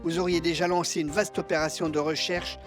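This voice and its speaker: A stubborn, dutiful attendant played by a man speaks in measured, over-formal phrases with a pompous stiffness. It is scripted French audio drama, with clear music playing behind the voice. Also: fairly high pitch, level tone, lightly acted. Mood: reproachful, stiff.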